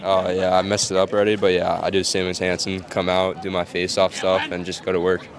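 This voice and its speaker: A man's voice: low voice, monotone, fairly quick. A young man playing on a lacrosse team, answering brief questions outdoors in a matter-of-fact way. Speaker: lacrosse player. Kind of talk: casual interview answers.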